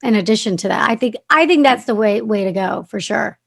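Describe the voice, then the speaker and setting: A woman's voice: mid-range voice, animated, a little fast, vocal fry, fairly bright tone, slightly rough. A woman hosting a podcast interview, talking casually with many fillers and agreeing often. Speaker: podcast host.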